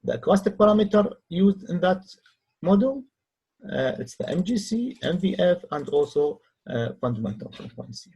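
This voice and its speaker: A man who speaks English as a second language narrates a software tutorial as a researcher, in a halting way with hesitant pauses. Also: high-pitched, big pitch swings, slightly rough, low-quality recording. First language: not English. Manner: halting, non-native phrasing with hesitant pauses. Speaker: researcher demonstrating own software. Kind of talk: tutorial screen-recording narration.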